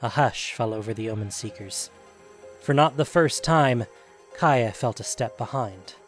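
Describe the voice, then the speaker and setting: A man's voice: mid-range voice, a little slow, soft background music. A man narrating a scripted fantasy story as the narrator of an audio production.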